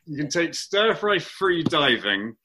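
A man's voice: fairly high pitch, big pitch swings, slow, pushed and bright. A man hosting a casual chat show, upbeat and teasing, laughing often. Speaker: podcast host.

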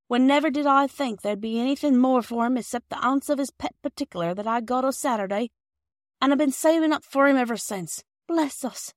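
A woman's voice: on the high side, no echo, studio quality, pitched above the performer's own voice, close to an everyday voice. A woman voicing an emotional working-class older woman in a storybook reading, speaking in a raised, dialect-tinged voice.

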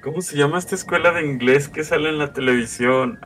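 A man's voice: a little high, a little fast, a bit bright, faint music behind. A man, chatting casually and laid-back on a podcast with slang. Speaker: podcast co-host. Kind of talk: casual chat.

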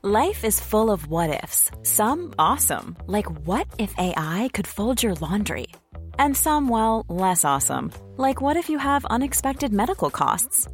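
A woman's voice: medium pitch, sing-song, a little slow, faint music behind. A woman doing a scripted voice-over read for an advertisement.

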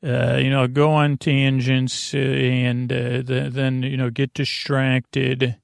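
Creaky-voiced man rambling at bedtime. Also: medium pitch, little pitch movement, slow pace, no echo, clean studio sound. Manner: meandering, hesitant, full of tangents and filler. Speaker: podcast host. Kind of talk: rambling bedtime monologue to lull listeners to sleep.

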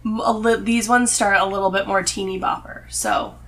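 A woman's voice: mid-range voice, fairly even intonation, moderate pace, a bit bright, slight background noise. A woman talking casually as a guest on a podcast. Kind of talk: casual chat.

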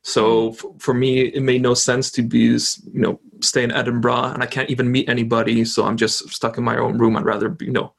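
Gravelly-voiced young man, halting. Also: mid-range voice, flat delivery. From Poland. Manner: hesitant, frequent restarts. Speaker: guest. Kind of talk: casual conversation.

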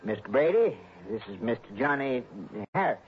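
An American actor playing a folksy, genial, crafty lake lodge keeper in a 1960s radio drama. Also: male voice, mid-range voice, very animated, normal speed, natural voice. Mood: feigned innocence. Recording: muffled, noisy old radio recording.

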